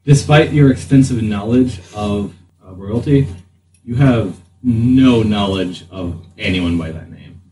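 Slow, halting male game master. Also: fairly low pitch, mellow. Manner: halting, deliberate. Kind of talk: improvised tabletop game narration. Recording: roomy, distant mic, faint noise.

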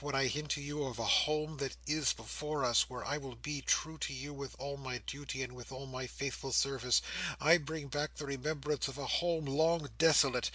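A man voicing a gentle, devoted young woman who pleads solemnly and repetitively with her father in an audiobook. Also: medium pitch, no echo, voice pushed up.

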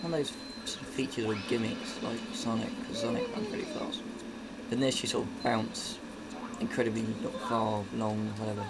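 A woman with a croaky morning voice doing casual gameplay commentary, excitable and quick to yelp at the game. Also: extremely low pitch, heavy background noise. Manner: excitable, yelps and exclaims at the game. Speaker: gaming video host. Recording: loud game sound over the voice. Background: video game sound.

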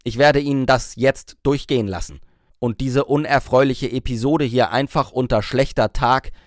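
A male narrator plays a young businesswoman in an audiobook. She is haughty and commanding, delivering measured, cutting reprimands. The recording is dry. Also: mid-range voice, no room sound, natural voice. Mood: indignant, controlled. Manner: stern, condescending, measured.

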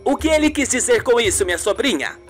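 A woman's voice: on the high side, very animated, fast, soft background music. A woman voicing an outspoken, indignant and protective spinster aunt in a radio soap. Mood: curious.

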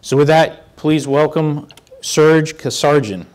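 A man's voice: fairly high pitch, slow pace, background noise. A middle-aged man hosting a conference, making a formal introduction at a podium. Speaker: conference host introducing a speaker. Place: hotel conference ballroom, indoors. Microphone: podium mic.

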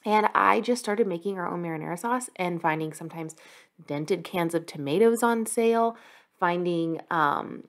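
A woman's voice: on the low side, gravelly. A young adult woman chatting casually over a home cooking vlog, upbeat and full of filler words. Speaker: vlogger. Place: home kitchen.

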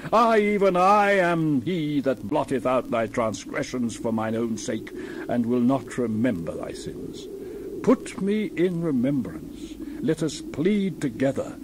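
A man giving a dramatized scripture reading in a solemn, declamatory, measured way with emphatic swells. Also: mid-range voice, noisy background. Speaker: Bible reader.

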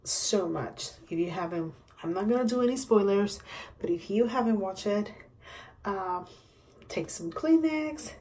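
A woman in her forties chatting casually for a vlog, pausing often between short phrases, recorded on a phone indoors at home. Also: medium pitch, lively intonation, a little mellow, low-quality recording. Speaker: vlogger, host. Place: small rooms at home, indoors. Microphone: phone at arm's length. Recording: noticeable background room noise.

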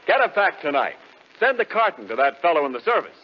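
A man's voice: on the high side, big pitch swings, fast, loud music behind the voice. An American man announcing on 1940s radio, reading scripted copy with a polished, emphatic salesman's delivery. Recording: muffled 1940s radio broadcast.

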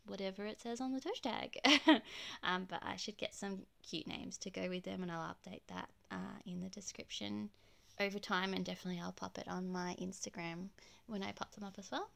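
A woman showing off her plush toy collection in a gushing, chatty way, her voice slightly croaky from being unwell, filmed on a handheld phone in her bedroom. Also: medium pitch. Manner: gushing, chatty, soft giggles. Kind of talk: casual show-and-tell narration. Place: bedroom with plush toys on display. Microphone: handheld phone camera, off-camera voice.

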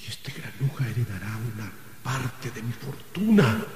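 A man's voice: mid-range voice, big pitch swings, moderate pace, darkish tone, a little hiss or hum, natural voice. An actor playing a stern, anxious older wealthy man in a radio drama, hesitant and given to muttering. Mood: agitated.

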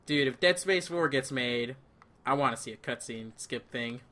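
A man with a bright, forceful voice talks through a co-op video game. He speaks casually, with a joking, rambling manner, like a player talking to a teammate. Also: mid-range voice, normal speed. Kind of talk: casual co-op gameplay chat.

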